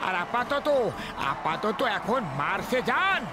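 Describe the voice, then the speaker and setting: A man's voice: extremely high pitch, big pitch swings, takes it slowly, heavily acted. A man voicing a mischievous royal herald in a comedy cartoon, declaiming as if making proclamations. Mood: teasing, commanding. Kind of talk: cartoon dub acting. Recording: loud background music.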